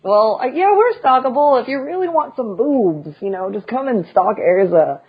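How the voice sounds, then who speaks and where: Deadpan husky woman co-hosting. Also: on the high side, moderate pace. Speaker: podcast co-host. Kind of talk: casual podcast chat. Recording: lo-fi dull podcast audio.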